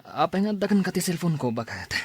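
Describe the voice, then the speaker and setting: A man's voice: sits high, big pitch swings, brisk, darkish tone, husky, no echo, exaggerated voice. A man with an Ilocano accent acting an evasive, defensive husband in a radio drama. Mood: evasive, flustered. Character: evasive cheating husband, defensive, then pleading.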